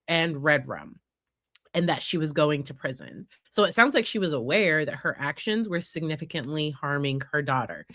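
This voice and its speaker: A woman's voice: low-pitched, a bit bright, no echo, studio quality. A woman psychologist hosting a casual commentary on news cases, congested and nasal from a head cold, talking conversationally with frequent fillers. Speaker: psychologist host.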